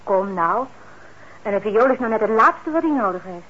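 An actress in a radio play as a sceptical, sharp-tongued young daughter who speaks pointedly. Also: female voice, medium pitch, expressive, normal speed, husky, dull audio. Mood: scornful. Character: suspicious, sharp-tongued grown daughter of a shopkeeper.

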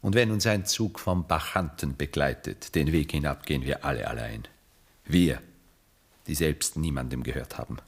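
An aging Viennese gentleman in a classic radio drama. His voice is very low and slightly husky. He speaks in deliberate, rhetorical phrases, as a cool philosopher who keeps people at a distance. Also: exaggerated voice. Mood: composed, cool. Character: aging detached aristocratic Viennese man, coolly philosophical bachelor. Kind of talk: 1960s German radio play acting.

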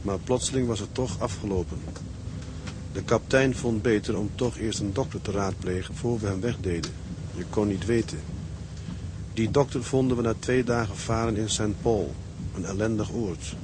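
Actor as a steady young seaman, clearly noisy recording. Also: on the low side, moderate pace, lightly acted. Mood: tender, sober. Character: young ship's officer, devoted husband, plain-spoken and steady. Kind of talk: radio drama acting with letter narration. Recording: noisy drama track with ambient sound.

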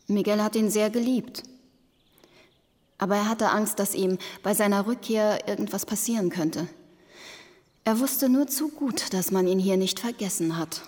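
An actress playing a cool, guarded young widow in a radio drama, speaking in a terse, aloof way. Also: female voice, medium pitch, a little mellow, lightly acted. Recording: long reverberant room sound.

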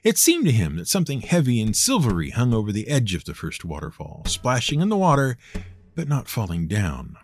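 A man's voice: medium pitch, wildly swooping pitch, fairly quick. A man narrating scripted folk tales as host, in a wry, theatrical manner. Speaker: storyteller and show host.

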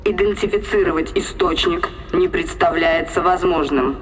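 A woman's voice: fairly low pitch, moderate pace, slight background noise, lightly acted. An actress playing an emotionless, formal female ship computer in an audio drama. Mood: impassive. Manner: formal, impassive, precise.

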